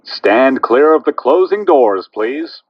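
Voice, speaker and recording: A man's voice: medium pitch, expressive, takes it slowly, dull audio, slight background noise. A man giving formal, instructing pre-recorded announcements on a subway train.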